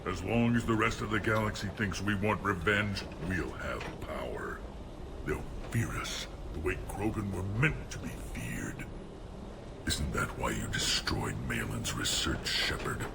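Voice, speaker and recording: A gravel-throated alien warlord voiced by a man, proud and vengeful, in a video game. He speaks bluntly and with menace, over noisy battlefield ambience. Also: on the low side, steady tone, fairly quick, few pauses, natural voice. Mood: brooding, defiant. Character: gruff, vengeful warlike male alien clan chief. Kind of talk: video game character acting.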